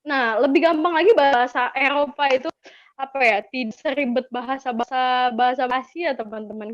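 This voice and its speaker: A woman's voice: sits high, dry recording. A woman with an Indonesian accent giving an informal language lesson, chatty and encouraging, repeating key words for learners. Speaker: webinar speaker teaching languages. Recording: dull lo-fi webinar audio.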